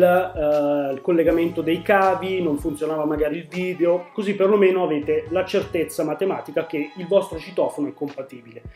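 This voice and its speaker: A man's voice: on the high side, a little mellow. A man, presenting a product to camera in a casual, explanatory way. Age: 40s. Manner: explanatory, conversational. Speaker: tech channel host. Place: small room at home, indoors. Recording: echoey room, music underneath.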